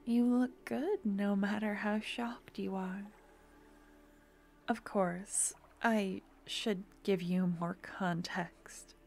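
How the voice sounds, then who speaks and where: A woman playing a doting necromancer girlfriend, slow and gravelly. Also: mid-range voice. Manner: soft, intimate, drifting in and out of whispers. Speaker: ASMR roleplay performer. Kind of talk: ASMR fantasy audio roleplay. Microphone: close ASMR microphone.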